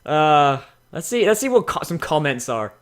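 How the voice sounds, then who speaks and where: A man with a fairly high-pitched voice hosts a comic reading. He comments as he goes, swearing freely and mocking the material with exclamatory asides. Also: sing-song, moderate pace. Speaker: host.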